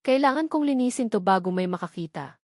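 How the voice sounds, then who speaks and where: A woman's voice: medium pitch, expressive, a little fast, dry recording, clean studio sound, natural voice. A woman voicing an anxious young female janitor, defensive and defiant, in an audio love story. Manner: defensive, pleading.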